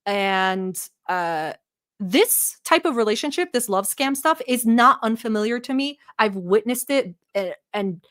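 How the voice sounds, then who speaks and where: Woman from turkey on a livestream, sarcastic and foul-mouthed, kinda high voice. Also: expressive, measured pace, fairly bright tone. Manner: mocking, blunt and profane, talking to chat. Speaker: livestream host reacting to a video. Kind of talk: live reaction commentary.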